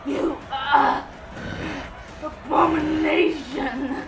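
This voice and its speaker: A woman's voice: high-pitched, steady tone, very slow. A woman playing a fierce, defiant female elf fighter in an audio drama, with an intense, strained delivery. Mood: desperate fury. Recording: echoey, loud music and crowd behind.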